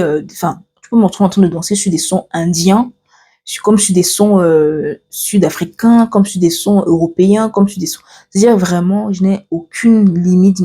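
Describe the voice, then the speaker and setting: Husky, mellow-voiced young woman chatting. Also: mid-range voice. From Cameroon. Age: 20s. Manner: chatty, many fillers and restarts, reads from notes. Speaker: vlogger. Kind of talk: casual get-to-know-me chat.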